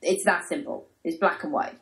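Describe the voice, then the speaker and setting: An Australian woman, a fitness coach guest, talking directly and emphatically with a croaky voice. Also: on the low side, moderate pace, a bit bright, poor audio. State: croaky, losing her voice. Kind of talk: casual podcast chat.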